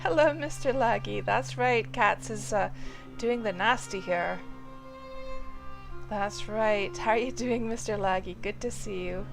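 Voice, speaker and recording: Woman streamer, mid-pitched, rambling and chatty, music behind. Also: a little slow, gravelly, poor audio. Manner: rambling, chatty, many fillers, answers chat viewers. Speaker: game livestreamer. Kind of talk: casual live-stream chat while playing. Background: music playing under the voice.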